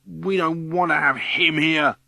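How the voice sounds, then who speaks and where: Man acting a shouting schoolboy bully, high-pitched. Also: fairly even intonation, measured pace, vocal fry, dry recording, heavily acted. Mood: angry, jeering. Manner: shouting, chanting taunts. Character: bullying schoolboy ringleader, vicious and jeering. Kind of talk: audiobook character acting.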